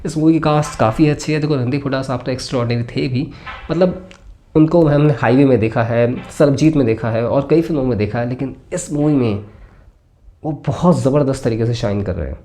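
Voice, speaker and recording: A man's voice: mid-range voice, brisk, warm tone. A man with an Indian accent hosts a conversational film review, explaining his points and mixing in English phrases.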